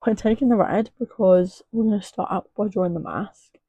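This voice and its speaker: A young woman chatting casually through a makeup tutorial, her voice a little hoarse as if it is going. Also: moderate pace, no echo, phone-quality sound. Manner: chatty, rambling, soft and low at times. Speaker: makeup artist.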